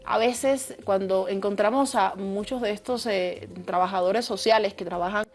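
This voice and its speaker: A woman's voice: medium pitch, moderate pace. A woman hosting an interview, engaged and affirming, asking reflective questions. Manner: engaged, affirming with short backchannels.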